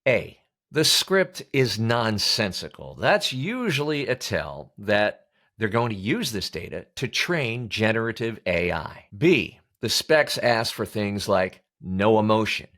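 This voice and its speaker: An American man delivering scripted instructional narration as an experienced presenter, with emphatic, punchy phrasing and pointed pauses. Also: lowish voice, very animated, a bit bright. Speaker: voice actor teaching about auditions.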